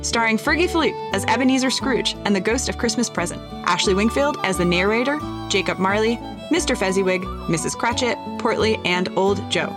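A woman's voice: mid-range voice, expressive, fairly quick. Woman reading credits over music. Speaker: credits announcer. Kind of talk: scripted credits read.

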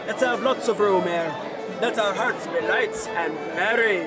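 Jolly old boss, high voice, drawn-out festive calls, loud music and crowd, audio play. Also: male voice.

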